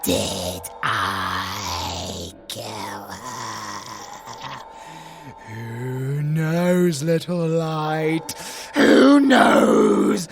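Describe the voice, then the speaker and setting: Monster villain, British, audio roleplay: sadistic, menacing, drawn-out gravelly delivery, theatrical taunts, faint music underneath. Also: male voice, mid-range voice, very animated, exaggerated voice. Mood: mocking. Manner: theatrical and taunting, swinging from slow hushed purrs to shouts. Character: sadistic male monster villain, gloating, menacing, hungry for prey.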